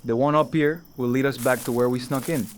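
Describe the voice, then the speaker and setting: A practical young man played by an actor banters in a horror audio drama, easygoing and ready to tease. His pitch is average for a man, and faint night ambience sits behind the voice. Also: fairly even intonation, a little fast, slight background noise, natural voice. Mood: practical, relaxed. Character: Hector, teasing, practical, easygoing young male friend.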